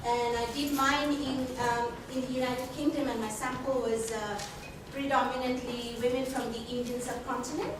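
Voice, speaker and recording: A woman from Bangladesh, a researcher presenting at a conference, speaking in a measured way with many ums and uhs. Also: on the high side, fairly even intonation. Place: conference hall. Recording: echoey hall from far off, noisy.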